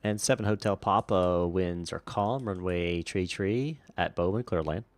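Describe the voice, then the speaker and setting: A man acting as a virtual air traffic controller, switching between radio phraseology and casual, relaxed chat to a stream. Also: low-pitched, unhurried, no echo, faint music behind.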